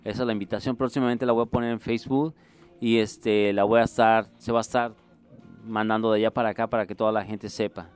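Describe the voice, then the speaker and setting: A man from Mexico City with a Mexico City Spanish accent, a musician and music instructor answering radio interview questions in an earnest, halting way, often circling back to rephrase. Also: on the low side, little pitch movement, darkish tone, clean tone.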